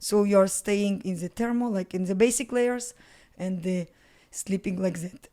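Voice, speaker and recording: A middle-aged woman from Russia with a Russian accent, speaking English as a second language in a casual interview, halting and searching for words, often laughing. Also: mid-range voice, steady tone, warm tone. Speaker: podcast guest, mountaineer. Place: home studio with bookshelves, indoors. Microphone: studio mic on stand, headphones.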